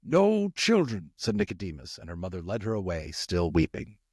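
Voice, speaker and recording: A man's voice: sits low, a little fast, darkish tone, slightly rough, dry recording, clean studio sound. A man narrating a story expressively, as an audiobook reader.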